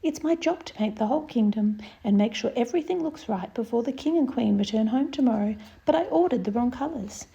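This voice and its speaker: A woman's voice: fairly high pitch, animated, brisk, gravelly, hardly any pauses, warm tone, highs cut off. A woman voicing a friendly, flustered old male painter in a children's storybook, eager and a little fretful. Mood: welcoming, flustered.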